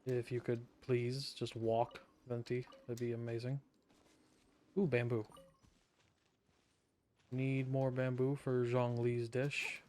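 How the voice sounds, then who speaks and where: A man's voice: mid-range voice, level tone, slow pace, long pauses, a little mellow. A man streaming a video game, giving casual commentary with wry, joking asides.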